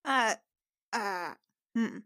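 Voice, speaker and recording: A woman's voice: medium pitch, animated, extremely slow, sharp tone. A woman chatting casually and playfully as a player in a tabletop roleplaying game.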